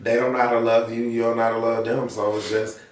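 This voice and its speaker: A man's voice: medium pitch, level tone, quick pace, echoey room, far from the mic, slight background noise. A man co-hosting a casual podcast, laid-back and teasing, often answering in short replies. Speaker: podcast co-host.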